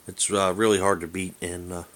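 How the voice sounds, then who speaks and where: Male hobbyist reviewer, low-pitched voice, off-the-cuff and rambling delivery with hesitant phrasing. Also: flat delivery, brisk, fairly bright tone. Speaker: amateur radio hobbyist presenting an app review. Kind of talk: casual unscripted demo.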